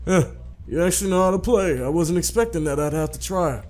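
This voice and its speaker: A man's voice: on the high side, fairly quick, darkish tone, voice pushed up, lightly acted. A man voicing a condescending, taunting rival pro gamer from a visual novel game. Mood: smug.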